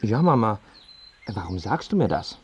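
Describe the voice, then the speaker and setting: An actor in a radio play playing a self-absorbed, precocious, stubborn boy who tells his own life earnestly and deliberately. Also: fairly low pitch, big pitch swings, husky, dull audio, heavily acted. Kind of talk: literary radio play monologue and dialogue.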